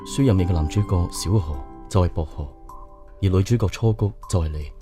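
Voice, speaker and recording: An actor playing an earnest, polite young suitor in a radio drama, with a Hong Kong Cantonese accent. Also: male voice, sits low, fairly quick, music in the background, lightly acted. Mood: curious, reflective. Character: earnest, polite young man shyly courting a woman.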